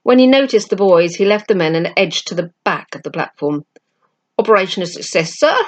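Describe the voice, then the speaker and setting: A woman with a slightly low voice reads a children's story aloud. She narrates expressively, as a storyteller who also acts out the dialogue. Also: animated, fairly quick, lo-fi sound.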